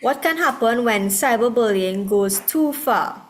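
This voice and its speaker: A woman with a Trinidadian accent moderating an online forum, speaking hesitantly with many pauses. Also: fairly high pitch, animated, rough recording. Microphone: video call.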